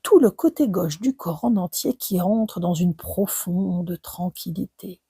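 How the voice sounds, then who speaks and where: A woman leading a guided relaxation in a soft, breathy voice that often nears a whisper, soothing and unhurried, with gentle pauses between short phrases. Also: fairly low pitch, lively intonation, no room sound, studio quality. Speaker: yoga nidra relaxation guide. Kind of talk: guided meditation narration.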